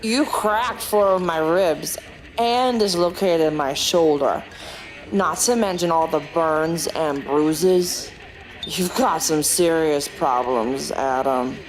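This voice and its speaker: Lady as fed up streetwise hooker, blunt, slow talking, kinda low voice, audio drama. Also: soft background music. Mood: bitter. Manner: blunt, confrontational. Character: fed-up, defiant, streetwise female prostitute.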